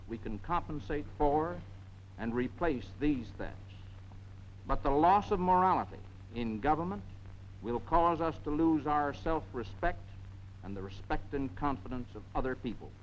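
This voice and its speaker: A man's voice: fairly high pitch, expressive, a little slow. An American man giving a formal prepared public statement in a grave, oratorical manner. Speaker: former ambassador, anti-crime committee head. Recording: dull, faintly noisy 1950s newsreel sound.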